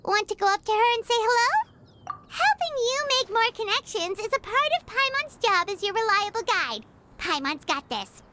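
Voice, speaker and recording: A woman voicing a tiny, chatty, excitable fairy girl guide in a video game, with a squeaky childlike voice. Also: normal speed, a little hiss or hum, exaggerated voice. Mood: curious, eager. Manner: refers to self in third person, bubbly. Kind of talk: animated game voice acting. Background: music.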